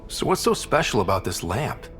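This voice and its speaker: A man's voice: lowish voice, very animated, moderate pace, a bit bright, soft background music. A man playing an earnest young space explorer in a video game, speaking in a plain, direct, easygoing way. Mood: friendly, casual.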